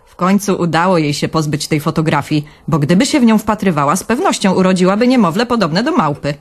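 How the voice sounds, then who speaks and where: Low-voiced young woman narrator. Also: expressive, quick pace. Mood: wry. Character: young sister-in-law, dreamy, wry storyteller. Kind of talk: first-person radio drama narration.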